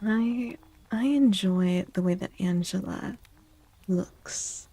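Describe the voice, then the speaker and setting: A woman speaking softly and gently in a breathy, half-whispered ASMR style, like a livestreamer, often repeating phrases. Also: mid-range voice, slow pace, no echo, rough recording. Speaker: streamer and tarot reader. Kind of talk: soft-spoken ASMR livestream with casual chat.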